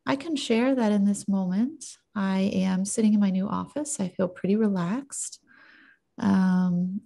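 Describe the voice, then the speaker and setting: Mellow, creaky-voiced woman. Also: mid-range voice. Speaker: podcast co-host. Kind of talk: calm guided check-in, conversational. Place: indoor office, video call.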